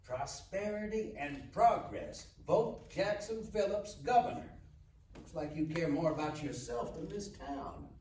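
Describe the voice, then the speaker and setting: A man acts out a proud, older small-town sheriff with a blunt, commanding manner. His fairly high male voice swings widely in pitch. It is a live script read, picked up from a distance in an echoey room. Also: lively intonation, measured pace, a little mellow. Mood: defiant, scornful. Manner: blunt, authoritarian. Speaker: actor at a live table read. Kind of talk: live table read screenplay acting. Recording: echoey, distant room mic with faint noise.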